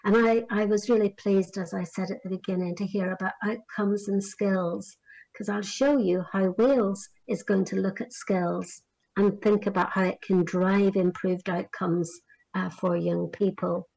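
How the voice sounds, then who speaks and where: A woman's voice: mid-range voice, fairly even intonation, vocal fry, a little mellow, poor audio. Woman from Northern Ireland giving a talk. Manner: measured, with frequent fillers and restarts. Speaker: conference speaker on career guidance. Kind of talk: remote conference address.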